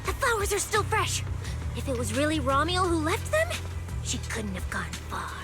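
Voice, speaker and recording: Very high, eager young girl. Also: very animated, normal speed. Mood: curious. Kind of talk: video game voice acting. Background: game music.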